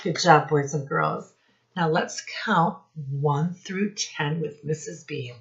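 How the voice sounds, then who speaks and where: A woman's voice: low voice, slow pace, muffled recording. A woman teacher leading young children through a counting lesson in an encouraging, sing-song way.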